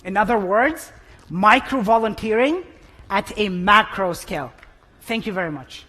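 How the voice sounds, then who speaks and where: A man giving a short stage talk in slow, emphatic short phrases. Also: high-pitched, lively intonation, sharp tone, in a large hall, slight background noise.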